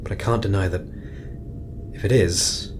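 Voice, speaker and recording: Guy acting as stressed space mission commander, really low voice, reassuring but clipped, rumbly noise behind. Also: fairly even intonation, close to an everyday voice. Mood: strained, reassuring. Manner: measured, hesitant, reassuring with clipped orders. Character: stressed male astronaut commander, steadying a frightened crew. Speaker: mission commander giving orders. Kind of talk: sci-fi audio drama acting. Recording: noisy, rumbling background.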